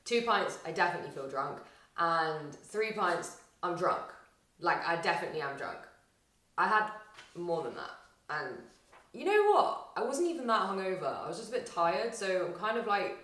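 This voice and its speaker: A young woman vlogging casually to camera, chatty and rambling, her voice slightly husky and tired after a weekend out. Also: fairly low pitch, big pitch swings, moderate pace, fairly bright tone, distant mic. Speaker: vlogger and aspiring actor. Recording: roomy echo, faint music.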